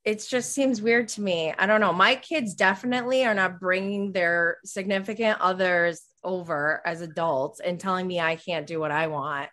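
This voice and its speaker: A woman's voice: fairly low pitch, steady tone, moderate pace, hardly any pauses, bright, forceful tone. A woman in her thirties co-hosting a casual, conversational TV recap chat. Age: 30s. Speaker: podcast co-host.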